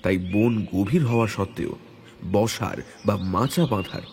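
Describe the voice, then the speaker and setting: A man reading a hunting adventure as a dramatic audio story, in a measured, suspenseful way. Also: lowish voice, darkish tone, slight background noise. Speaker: story narrator. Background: background music.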